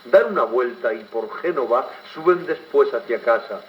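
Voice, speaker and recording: A man's voice: medium pitch, lively intonation, moderate pace, highs cut off. A man with an accent from Spain declaiming poetry expressively in an old theatrical style, on a crackly 78 rpm record. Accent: Spanish, from Spain. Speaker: poetry reciter. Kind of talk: theatrical 1940s-style dramatic poetry recitation.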